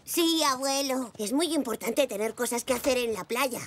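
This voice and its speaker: A performer voicing a curious, imaginative five-year-old boy zebra in an animated children's series, eager and childlike. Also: sits high, normal speed, a little hiss or hum, natural voice. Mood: cheerful, eager. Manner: eager, wide-eyed, childlike.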